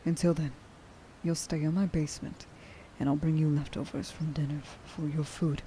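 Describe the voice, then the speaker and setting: A woman playing a tender, emotional young huntress in an ASMR roleplay, speaking softly and breathily and often dropping toward a whisper. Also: low-pitched, flat delivery, normal speed, slight background noise, lightly acted, poor audio. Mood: earnest, reassuring. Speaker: voice actor.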